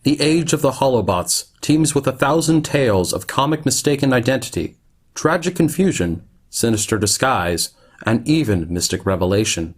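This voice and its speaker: A man narrating a futuristic radio play as a solemn, measured, dramatic storyteller. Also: medium pitch, lively intonation, breathy edge.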